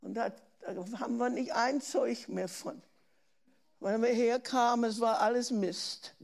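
A woman's voice: a little high, steady tone, measured pace, creaky, a little mellow. An older German woman preaching a sermon in an earnest way, often asking her listeners questions and breaking off to restart words.

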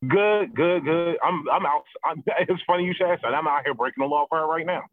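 A man's voice: on the high side, fairly quick, dry recording. A man joining a casual chat show, telling stories animatedly and laughing often. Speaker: regular panelist. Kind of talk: casual banter. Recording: thin lo-fi line.

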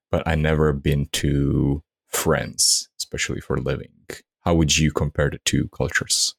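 A man hosting an interview, introducing his guest and asking questions with lively emphasis. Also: a bass voice. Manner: lively, rising intonation on key words. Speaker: interview host.

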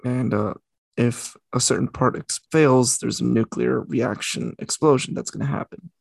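A man's voice: mid-range voice, steady tone, normal speed, vocal fry, husky, no echo. A young man co-hosting a casual podcast, rambling and correcting himself as he talks. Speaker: podcast co-host. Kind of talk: casual chat.

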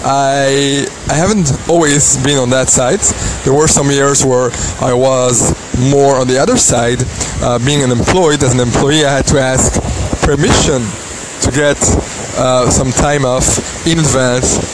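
A man's voice: medium pitch, steady tone, unhurried, few pauses. A man in his thirties from Belgium, hosting a casual, motivational podcast outdoors on a beach, with plenty of ums and uhs. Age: 30s. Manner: motivational, filler-heavy with many ums and uhs. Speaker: podcast host. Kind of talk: casual solo podcast monologue. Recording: loud outdoor wave noise. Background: loud waves.

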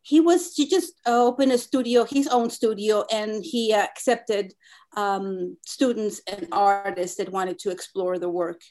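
A woman's voice: mid-range voice, fairly even intonation, measured pace, a little mellow. A woman in her fifties with a Mexican Spanish accent, a native Spanish speaker, talking thoughtfully as an artist guest in an interview, with many fillers and restarts. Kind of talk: conversational interview.

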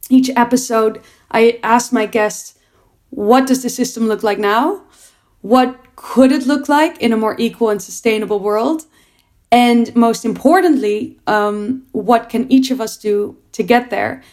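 A young adult woman, a producer and entrepreneur, answering interview questions in an earnest, reflective way with frequent restarts. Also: fairly high pitch.

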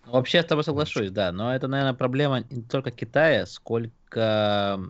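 A man's voice: medium pitch, fairly quick, dry recording. A man from a Georgian family raised in Russia, chatting casually and thoughtfully, with hesitant fillers. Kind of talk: casual podcast chat. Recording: dull lo-fi sound.

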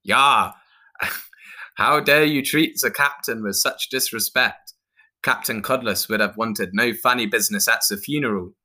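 A man voicing a big, scornful, pompous Viking with booming, lofty declarations in a storybook reading. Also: unhurried, pushed and bright. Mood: indignant roaring. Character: loud pompous bear-like bearded male Viking, scornful.

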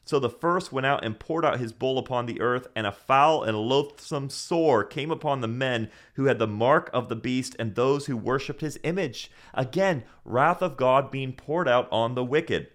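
A man's voice: medium pitch, normal speed, hardly any pauses. A man who grew up in Senegal, teaching a Bible podcast in an earnest preaching manner with rhetorical questions.

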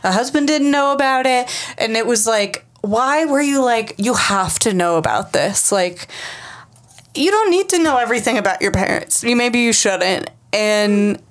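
A woman's voice: a little high, expressive, moderate pace. A woman co-hosting a casual book-club podcast, joking and swearing with an irreverent manner. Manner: irreverent, joking, swears, puts on mock quote voices. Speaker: podcast co-host.

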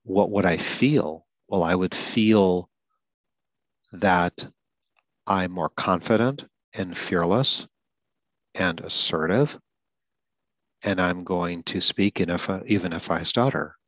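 Low-voiced man explaining a coaching method. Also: slow, pauses often, fairly bright tone, dry recording. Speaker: podcast host and stuttering advocate. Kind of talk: solo podcast explanation.

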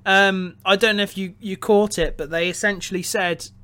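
Man, high voice, very bright, casual analysis, halting delivery, frequent rephrasing. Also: fairly even intonation, clear, resonant tone. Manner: halting, rephrasing as he thinks aloud. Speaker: podcast co-host reviewing a film.

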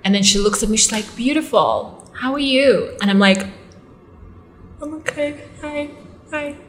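A young Ugandan woman with an accent, a model and actress telling stories in a casual interview, animated, with fillers and quick restarts. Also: a little high. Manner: animated storytelling, fillers and self-corrections.

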